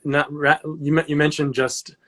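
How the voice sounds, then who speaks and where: A man hosting a casual interview, speaking haltingly and rephrasing his points as he goes. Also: fairly high pitch, flat delivery, a bit bright. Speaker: interviewer, host.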